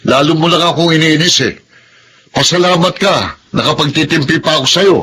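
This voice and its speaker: A man's voice: on the high side, a little fast, lightly acted. An actor playing a pushy, impatient married man with a Filipino accent in a radio drama, insistent and dramatic. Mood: irritated, weary.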